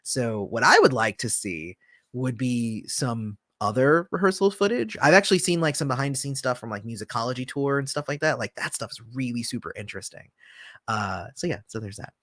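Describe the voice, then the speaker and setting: A man hosting a casual livestream, answering viewer comments in a relaxed, rambling way with lots of fillers, often trailing off softly. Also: mid-range voice, fairly quick, dry recording, clean studio sound. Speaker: channel host.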